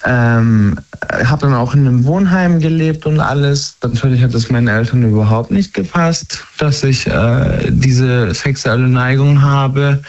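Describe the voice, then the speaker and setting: A man's voice: medium pitch, a little mellow. A man in his twenties, born and raised in Germany, talking candidly as a guest and hesitating often with fillers and restarts. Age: 20s. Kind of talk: candid personal conversation. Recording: dull lo-fi sound.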